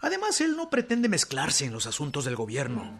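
Earnest, deferential Mexican envoy. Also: male voice, a little high, huge pitch swings, brisk, a bit bright, faint music behind, exaggerated voice. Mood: earnest pleading. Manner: formal, respectful, insistent. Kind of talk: radio drama acting.

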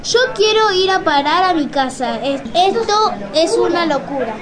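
An indignant lady shopper acted by a woman with a very high voice. She is exasperated and stubborn, protesting as in an amateur radio play. People chatter in the background. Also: animated, measured pace.

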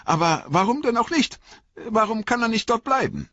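A man voicing a testy, possessive little old hobbit gentleman in a storybook reading, with a querulous, defensive manner. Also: extremely high pitch, sing-song, moderate pace, no echo, highs cut off, voice pushed up, exaggerated voice. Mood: flustered, irritable.

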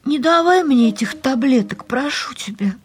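A woman's voice: high-pitched, lively intonation, moderate pace. Frail, whispering woman, pleading. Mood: pleading, hopeful. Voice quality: breathy, whispering. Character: frail, frightened, pleading sick wife. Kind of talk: radio drama acting.

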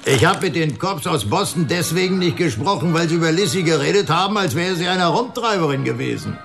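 A man's voice: fairly high pitch, lively intonation, a little fast, gravelly, over music. An actor in a radio drama playing a talkative, folksy cinema counter man, indignant and loyal.

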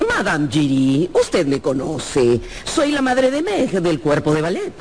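A man's voice: on the high side, wildly swooping pitch, measured pace, heavily acted. A man voicing an eccentric, naive old woman who works as a theatre usher in a radio drama. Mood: indignant. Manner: matter-of-fact, naive. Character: eccentric, simple-minded old woman, opera box usher.

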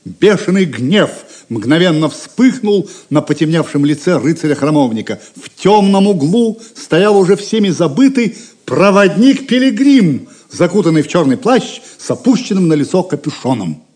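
A man narrating a Russian audio drama in an expressive storytelling manner. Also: fairly high pitch, normal speed.

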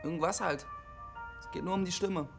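A man's voice: sits high, moderate pace, creaky, over loud music. An actor playing a withdrawn, literal-minded CB radio operator in his twenties, matter-of-fact and pedantic, in a naturalistic radio drama. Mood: awkward, insistent. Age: 20s.

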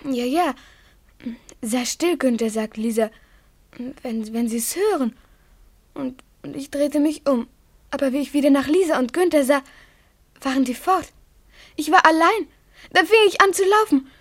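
A frightened little girl in a German radio play. The voice is very high and childlike, with an earnest, breathless, pleading manner. The sound is dry, without room echo. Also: a little slow, pauses often. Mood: fearful, earnest. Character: frightened, clinging little schoolgirl.

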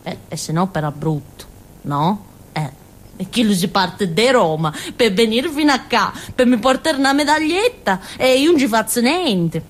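An actress playing a naive, childlike village simpleton girl with a Calabrian accent, in a halting, repetitive theatre monologue. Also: a little high, expressive. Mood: naive, plaintive. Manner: simple, repetitive, halting, with questioning tags and giggles. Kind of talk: theatrical monologue in colloquial dialect-tinged Italian.

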